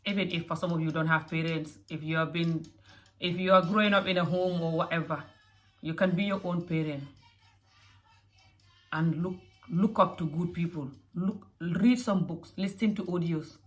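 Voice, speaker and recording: A woman's voice: on the low side, fairly even intonation, normal speed. A mother with a mixed African and Scottish accent, speaking in an earnest motivational talk to camera and often repeating phrases. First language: not English. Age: adult mother. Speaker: vlogger.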